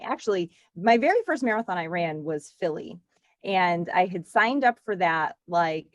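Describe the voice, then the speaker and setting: A woman in her forties from Connecticut, chatting as a podcast guest in a lively, talkative way with frequent laughs and filler words. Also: mid-range voice, dry recording, muffled recording. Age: 40s. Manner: chatty, animated, laughs often, lots of "like". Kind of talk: casual interview chat.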